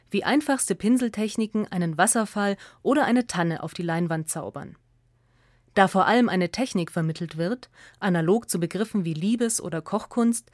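A woman's voice: mid-range voice, darkish tone, no echo. Woman reading a radio essay, dry studio sound. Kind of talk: scripted radio essay narration.

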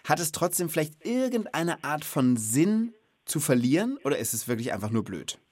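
A man's voice: a little high, very animated, normal speed, a little mellow, dry recording. A man hosting a children's podcast in a casual, playful and informal chatting style. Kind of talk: casual, scripted-feeling podcast chat.

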